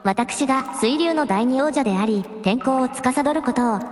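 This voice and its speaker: A woman acts out a high-voiced dragon princess, proud yet courteous. Her radio drama lines are refined and ladylike, ringing with long reverb over music. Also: normal speed, close to an everyday voice. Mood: proud, stately. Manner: refined, very polite ladylike speech. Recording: echoey cave-like reverb, music behind.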